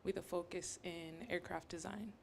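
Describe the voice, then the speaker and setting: A young woman from Rwanda, a graduate student on a panel, telling her story in an animated, earnest way. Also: lowish voice, normal speed, gravelly, a little hiss or hum. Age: young adult.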